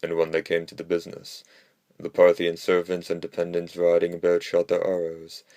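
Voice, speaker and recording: A man reading classical history aloud as scripted narration, in a measured monotone. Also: deep voice, no room sound. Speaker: audiobook narrator.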